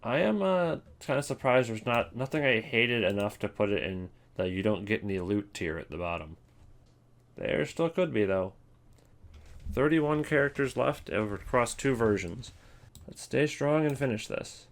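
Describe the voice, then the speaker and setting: A man's voice: medium pitch, expressive, moderate pace. A man giving casual, unscripted commentary as a host, rambling and thinking aloud as he goes. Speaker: host ranking video game voice lines.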